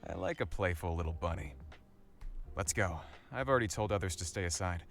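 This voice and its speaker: Low, protective detective sweetheart. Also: male voice, fairly quick. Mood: teasing, affectionate. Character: protective, gently teasing male detective love interest. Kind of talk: visual novel game voice acting. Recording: music behind the voice.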